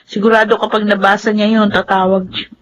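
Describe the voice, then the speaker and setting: An actress playing a playful, caring young younger sister in Filipino radio drama, with a chirpy manner. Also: female voice, medium pitch, flat delivery, fairly quick, lightly acted, low-quality recording. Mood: concerned, soothing.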